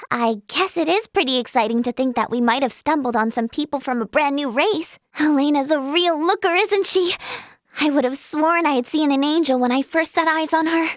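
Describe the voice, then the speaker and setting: Teenage girl game healer, high light voice, sweet, timid, earnest, polite, dry studio sound. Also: no echo. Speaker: voice actress. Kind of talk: video game character voice lines.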